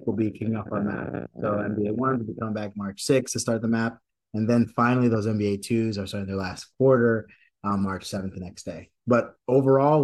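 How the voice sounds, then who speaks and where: Laid-back young man, lowish voice. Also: a little mellow. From Madison, Wisconsin. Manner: laid-back, with frequent ums and throat clearing. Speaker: podcast co-host. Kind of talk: casual announcements and chat.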